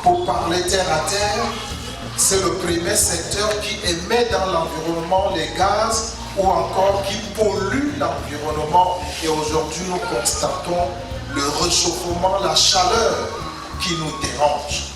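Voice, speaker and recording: A man's voice: high-pitched, measured pace. Man speaking in an echoing hall. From North Cameroon. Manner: earnest, repeats phrases and addresses the mayor directly. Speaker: government representative at a launch ceremony. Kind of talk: formal public speech. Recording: echoey hall, distant mic, music behind.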